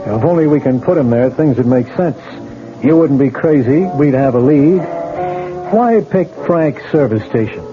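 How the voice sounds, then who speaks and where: An actor playing a patient, skeptical investigator in a 1970s radio drama, asking calm, probing questions. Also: male voice, medium pitch, moderate pace, close to an everyday voice. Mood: explaining. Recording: tinny old radio broadcast with music.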